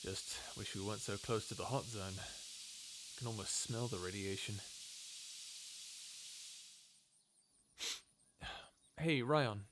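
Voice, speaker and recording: A man's voice: on the low side, moderate pace, heavy background noise, natural voice. A man playing a sarcastic, easily exasperated human scientist in an informal, conversational audio roleplay drama. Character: wry, grumbling human scientist, reluctant companion of a robot.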